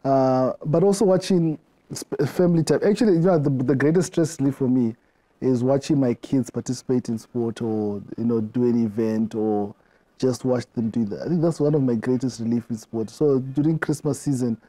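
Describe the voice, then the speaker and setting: A middle-aged man with a Zimbabwean accent, answering interview questions in a reflective way, often halting and repeating words. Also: medium pitch, warm tone, rough recording. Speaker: interview guest.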